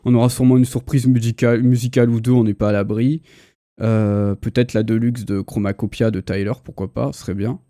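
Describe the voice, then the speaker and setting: Guy in his 30s hosting a livestream, enthusiastic slangy chat, soft warm voice, dry close sound. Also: mid-range voice, little pitch movement, fairly quick, dry recording. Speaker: host. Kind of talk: casual pop culture chat on a livestream. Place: indoor livestream.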